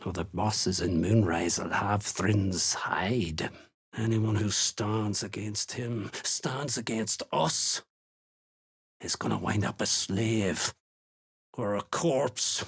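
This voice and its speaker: Gruff, raspy, menacing dwarf slave hunter. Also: male voice, fairly low pitch, slow, heavily acted. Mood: gruff, businesslike. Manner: blunt, threatening, folksy rustic turns of speech. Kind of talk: video game character dialogue.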